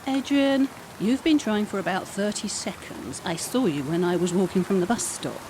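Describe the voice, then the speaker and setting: A British actress playing a sensible, dry-witted office worker in a comedy audio drama, teasing in a matter-of-fact way. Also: female voice, medium pitch, expressive, moderate pace, noisy background, close to an everyday voice. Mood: wry.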